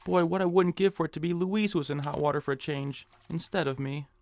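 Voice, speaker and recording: A man's voice: on the high side, level tone, fast, creaky, a little hiss or hum. A man in a comic audio drama playing a whiny, meek, hypochondriac office worker who speaks hesitantly. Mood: whiny, sulky.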